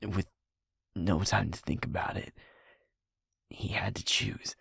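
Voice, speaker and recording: A man acts out a sweet young werewolf boyfriend burdened by guilt. His voice is low and gravelly with a lot of breath in it, often sinking toward a whisper. He talks slowly, with heavy pauses, as if confessing in an intimate audio drama. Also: steady tone, a bit bright, no room sound, studio quality, exaggerated voice. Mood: haunted, subdued. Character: sweet young male werewolf, guilt-ridden second in command of his pack. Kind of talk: intimate audio roleplay acting.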